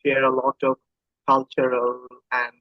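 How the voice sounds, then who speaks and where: A man's voice: mid-range voice, monotone, measured pace, dry recording, muffled recording. A man from Bangladesh with a Bangladeshi accent, a native Bengali speaker, talking casually as an interview guest and often repeating himself hesitantly.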